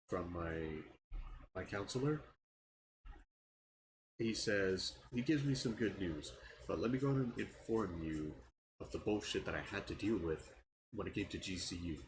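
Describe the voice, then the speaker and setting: A frustrated young man with a very low voice vents in a halting, casual way, often breaking off and restarting his sentences. His nose sounds stuffed up, and a clear hiss of background noise sits under his voice. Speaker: channel owner giving an update. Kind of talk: casual personal vlog rant.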